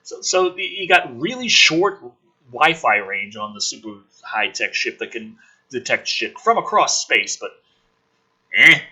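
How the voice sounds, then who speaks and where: A man's voice: medium pitch, big pitch swings, unhurried, sharp tone, slightly rough. A man dramatically reading a script aloud as host, with sarcastic, sweary asides thrown in.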